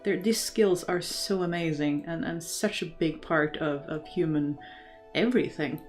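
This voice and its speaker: A woman's voice: deep voice, unhurried, faint music behind. A woman from Norway, chatting casually and enthusiastically as a livestream host, in a thoughtful, rambling way. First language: Norwegian. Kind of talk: casual chat, reading and answering live comments.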